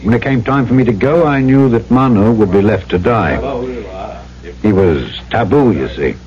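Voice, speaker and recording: An actor playing an earnest, courteous trader and narrator in an old-time radio drama, with a polite, measured delivery. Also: male voice, lowish voice, lively intonation, a crowd in the background, close to an everyday voice. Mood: sober, firm. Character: earnest, courteous male trader newly arrived on island, narrator. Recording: dull old radio recording, music behind.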